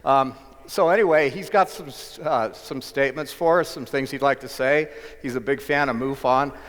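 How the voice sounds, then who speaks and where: A man's voice: mid-range voice, moderate pace. Man introducing a guest in a reverberant hall. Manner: hesitant, admiring, many fillers. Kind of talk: live event introduction. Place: convention hall.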